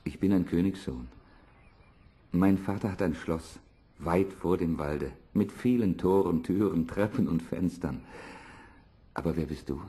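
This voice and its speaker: An actor playing an earnest, ardent young prince in a fairy-tale audio drama, declaiming formally. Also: male voice, deep voice, measured pace, long pauses, warm tone, slightly rough. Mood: tender, ardent.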